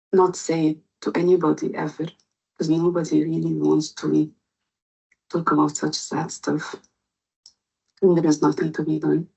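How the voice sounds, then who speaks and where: A woman from Egypt, working as an interpreter, sharing a personal story in a slow, reflective way with many hesitations. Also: deep voice, gravelly, dark tone, husky, rough recording. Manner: reflective, halting with fillers. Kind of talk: personal testimony video.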